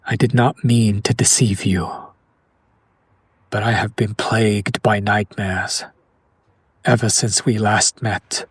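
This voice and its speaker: A man playing a centuries-old noble vampire in an intimate audio roleplay, formal and theatrical, tormented and romantic, with hushed, dramatic pauses. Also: on the low side, steady tone, slightly rough, no echo. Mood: remorseful. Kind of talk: intimate audio roleplay monologue addressed to the listener.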